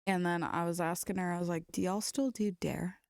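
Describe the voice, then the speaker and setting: An American woman co-hosting a casual podcast, telling stories in an animated, slangy way with plenty of swearing. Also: on the low side, brisk, gravelly. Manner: animated, profane, slangy storytelling. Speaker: podcast co-host. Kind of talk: casual chat, reading funny stories aloud.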